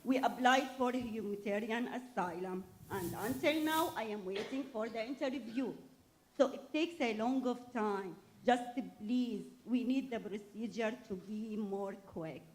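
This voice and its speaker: A woman's voice: a little high, measured pace, a little hiss or hum, rough recording. A woman from Syria with an Arabic accent, making a personal comment from the audience at a public meeting.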